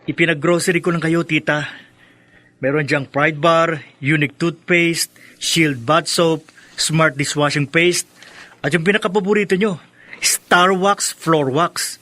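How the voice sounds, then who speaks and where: Scornful grown son, high-pitched, bright forceful tone, sarcastic, emphatic, Filipino radio drama. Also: male voice, fairly even intonation, measured pace. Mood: cheerful, gloating. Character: spiteful, scornful grown son who despises his stepmother.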